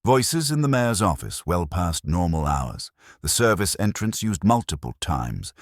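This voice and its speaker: A man reading scripted narration for a detective mystery in a formal, measured storytelling manner. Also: sits low, no echo, clean studio sound.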